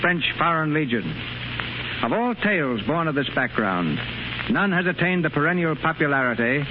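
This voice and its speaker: A man's voice: a little high, very animated, normal speed, noisy background. A man hosting with formal, scripted 1930s radio announcing. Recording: muffled 1930s radio recording.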